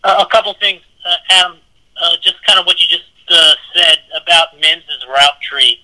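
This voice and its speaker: A man's voice: high-pitched, normal speed, sharp tone. A man asking press conference questions as a sports reporter over a tinny phone line.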